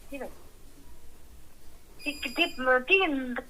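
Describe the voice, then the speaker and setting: A woman's voice: sits high, extremely slow, bright, forceful tone. A woman talking informally on a phone call, with some noise on the recording. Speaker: sister receiving a prank call.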